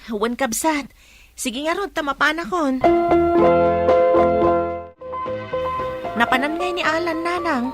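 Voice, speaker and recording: A woman's voice: high voice, normal speed, over loud music, close to an everyday voice. A Filipino woman acting in a radio drama as an exasperated rural wife who scolds and pleads to keep the peace. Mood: polite.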